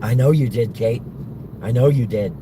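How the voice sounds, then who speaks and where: A man with a worn-out, hoarse voice chatting haltingly to his stream viewers while driving. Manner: halting, restarts often, defensive. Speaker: live streamer answering chat. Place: in a moving car. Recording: loud car road noise. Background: road and engine rumble.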